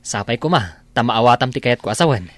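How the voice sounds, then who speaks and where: A man's voice: mid-range voice, expressive, fast, fairly bright tone, no echo, lightly acted. A man with an Ilocano accent acting a concerned friend giving advice in a radio drama. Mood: advising. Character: concerned male friend, gently advising his drinking buddy.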